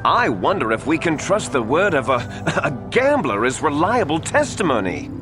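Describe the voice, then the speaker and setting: An actor playing a smug, combative prosecutor in a video game, formal and pompous in manner, addressing a courtroom. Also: male voice, fairly high pitch, sing-song, normal speed, over loud music. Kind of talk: theatrical courtroom game dialogue.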